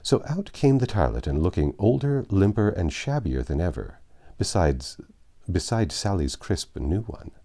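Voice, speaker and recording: Male audiobook narrator, very low and mellow, expressive storybook read. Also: normal speed.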